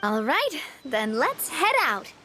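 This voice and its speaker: A woman's voice: high voice, huge pitch swings, slow pace, sharp tone, a little hiss or hum, exaggerated voice. A woman voicing an earnest young woman who leads a company, reflecting in game dialogue. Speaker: company president. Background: game music.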